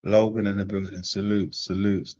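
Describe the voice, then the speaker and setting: A man hosting a live-streamed panel chat. He talks casually, repeating phrases and giving slangy shout-outs to viewers. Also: on the low side, flat delivery, moderate pace, slight background noise. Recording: dull, lo-fi stream audio.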